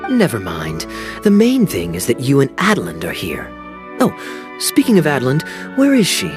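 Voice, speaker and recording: A suave, teasing knight. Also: male voice, medium pitch, huge pitch swings, normal speed. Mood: casual. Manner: easygoing, wry and charming. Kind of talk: video game cutscene dialogue. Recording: game audio with background music.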